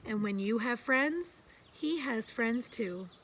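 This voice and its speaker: A woman voicing a wise old male beaver in a children's storybook, calm, measured and gently explanatory. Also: a little high, a little hiss or hum. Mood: calm, reassuring. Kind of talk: children's storybook read-aloud.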